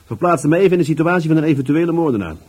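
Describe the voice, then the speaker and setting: A male actor playing a bossy, sardonic police adjutant, gruff and deadpan, in a radio play. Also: a little high, racing. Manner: gruff, deadpan, pompous with subordinates.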